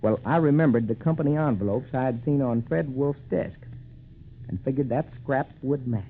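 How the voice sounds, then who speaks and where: An actor in a 1940s radio drama playing a clear-headed, modest young man solving a frontier mystery in an earnest way. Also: medium pitch, moderate pace, close to an everyday voice. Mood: earnest explaining. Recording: muffled narrowband 1940s radio recording.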